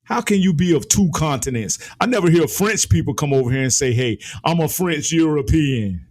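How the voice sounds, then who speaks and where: A man's voice: on the high side, expressive, normal speed, a little mellow. Emphatic American man on a political rant. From United States. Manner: emphatic, repeats phrases for effect. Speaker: political commentator.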